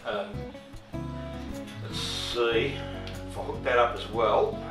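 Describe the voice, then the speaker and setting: A middle-aged man giving a casual hands-on demonstration, thinking aloud in a laid-back, halting mutter. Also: mid-range voice, animated, over music. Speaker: host of a workshop tinkering channel. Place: cluttered shed workshop, indoors. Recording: roomy, distant camera sound.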